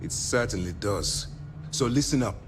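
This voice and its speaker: A composed military commander from a space game, voiced by a male actor. His voice sits fairly low and moves expressively as he gives a formal, deliberate briefing. There is faint ambient noise behind him. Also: lively intonation, lightly acted. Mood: formal, purposeful. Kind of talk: video game character dialogue.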